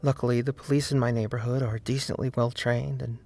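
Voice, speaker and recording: Slightly creaky man narrating a video essay. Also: mid-range voice, steady tone, fairly quick, darkish tone. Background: faint background music.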